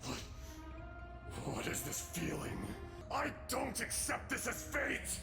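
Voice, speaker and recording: A raging ancient dragon from a video game, theatrical and accusing. The voice growls and lurches dramatically between high and low over prominent music. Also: male voice, very animated, slow, heavily acted. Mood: enraged. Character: wrathful ancient male dragon, betrayed and raging. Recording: game audio under loud music.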